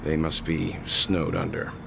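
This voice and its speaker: An actor playing a calm, steady older mentor in a video game story, with measured, literary phrasing. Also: male voice, very deep voice, vocal fry, noisy background, heavily acted. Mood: analytical. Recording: music behind the voice.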